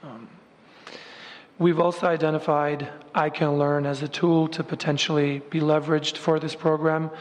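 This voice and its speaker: Steady-voiced man running a meeting. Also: a little high. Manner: measured, filler-heavy, steady delivery. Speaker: meeting facilitator. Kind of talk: formal meeting talk. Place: large meeting room. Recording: echoey meeting room.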